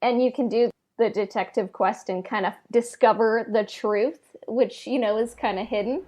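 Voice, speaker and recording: A woman's voice: fairly high pitch, fairly even intonation, normal speed, darkish tone. A woman hosting a podcast in casual chat, speaking a little hesitantly with lots of filler words. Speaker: podcast host.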